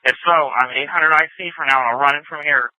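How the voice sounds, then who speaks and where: A man's voice: fairly high pitch, fast, pushed and bright, no echo, poor audio. A police officer, a man, taking command over police radio in a band-limited scanner recording.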